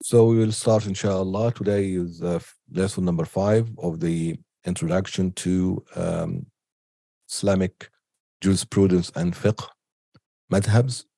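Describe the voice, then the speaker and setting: Deep-voiced man teaching, with a cold. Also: fairly even intonation, a little slow, warm tone, no echo. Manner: hesitant, many ums and restarts. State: has a cold. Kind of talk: unscripted online lecture.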